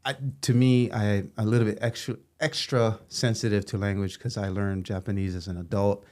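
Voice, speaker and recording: A man's voice: on the low side, little pitch movement, normal speed. Laughing male podcast host, rambling questions. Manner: warm, laughs often, long rambling questions. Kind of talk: casual interview chat.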